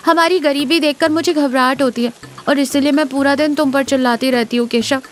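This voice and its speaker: Woman voicing a high-pitched scolding village wife. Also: fairly even intonation, fairly quick, lightly acted. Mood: tender confession. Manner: scolding, exasperated. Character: sharp-tongued village wife, scolding yet secretly loving.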